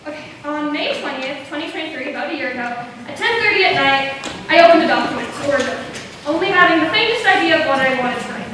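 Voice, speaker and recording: A woman's voice: sits high, animated, fast. A young woman giving an earnest, slightly hesitant and informal welcome speech as a playwright before a show. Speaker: playwright introducing own school play. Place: echoey performance space with seated audience. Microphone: distant video camera at the back. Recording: distant microphone, echoey noisy hall.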